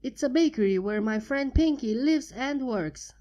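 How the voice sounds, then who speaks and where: An earnest, slightly prim young unicorn scholar, her voice lifted a little above the reader's own, as a fanfiction reader puts her on. The tone stays mellow and gentle. It is a storybook reading. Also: sits high, animated, moderate pace, muffled recording, voice pushed up.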